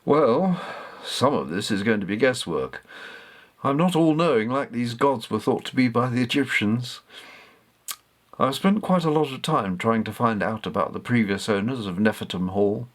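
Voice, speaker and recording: A man voicing a learned, modest old man in a storybook, explaining things in a measured way. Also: mid-range voice, lively intonation, close to an everyday voice. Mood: thoughtful, explanatory.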